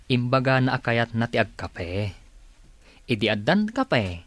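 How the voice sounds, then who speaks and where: A man's voice: mid-range voice, sing-song, a little slow, fairly bright tone, no echo. A man playing an exasperated but patient adult son of an elderly father in a radio drama. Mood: flustered.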